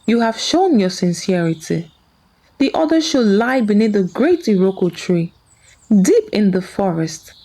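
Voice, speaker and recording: A woman's voice: medium pitch, big pitch swings, measured pace, warm tone, rough recording. A woman voicing a kind, solemn and peaceful river spirit in a folktale. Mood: gentle solemnity.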